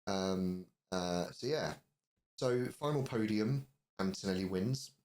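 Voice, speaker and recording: A man with a low voice co-hosts a podcast in a relaxed chat style. He talks conversationally, with some hesitation as he goes. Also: takes it slowly, a little hiss or hum. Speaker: podcast co-host. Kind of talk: casual chat.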